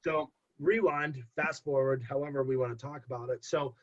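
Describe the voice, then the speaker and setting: A young man in casual podcast talk, telling a long story with animated repeats for emphasis. His voice sounds congested. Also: medium pitch, normal speed, slight background noise. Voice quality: husky, congested. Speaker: podcast guest. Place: home. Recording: dull lo-fi podcast audio.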